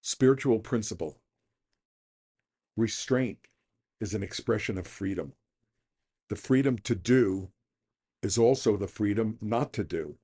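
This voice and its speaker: A man's voice: fairly low pitch, a little slow, long pauses, mellow, slightly rough. A middle-aged or older man reading a scripted daily reflection for a recovery podcast.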